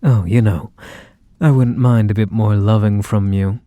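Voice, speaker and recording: A possessive mafia boss acted out by a man croons to his lover in an ASMR roleplay. His voice is dark and very unhurried, recorded close and dry. He is teasing and condescending, with menace under the affection. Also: lowish voice, steady tone, no room sound, natural voice. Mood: smug, affectionate. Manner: unhurried, mock-sweet, condescending pet names. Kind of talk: ASMR roleplay, intimate one-sided dialogue.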